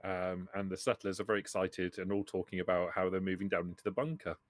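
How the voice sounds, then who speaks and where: Low-voiced man narrating a tabletop game. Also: fairly even intonation, quick pace, no room sound. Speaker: game master.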